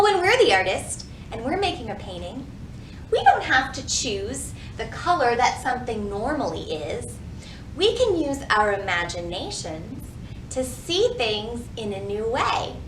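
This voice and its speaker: A woman hosting a children's storytime, reading and teaching in an animated way with careful enunciation for young listeners. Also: fairly high pitch, unhurried. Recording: roomy echo, faint background noise.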